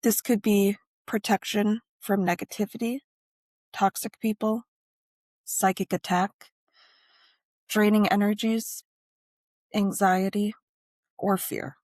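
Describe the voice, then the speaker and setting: A woman narrating a scripted guided meditation and ritual in measured, deliberate phrases with frequent pauses. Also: mid-range voice, flat delivery, a little mellow, no echo.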